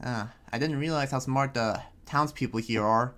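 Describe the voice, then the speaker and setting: A man playing an earnest, protective young town heir in an improvised anime game roleplay, talking in a halting, conversational way. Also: mid-range voice, fairly bright tone, lightly acted. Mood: earnest, thoughtful. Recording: background music under the voice.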